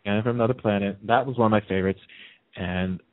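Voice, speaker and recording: A man with a fairly low voice talks over a narrow, tinny phone line. He is chatty and casual, telling stories like a guest on a radio interview. The sound is dry, with no room around it. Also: steady tone, quick pace, dry recording.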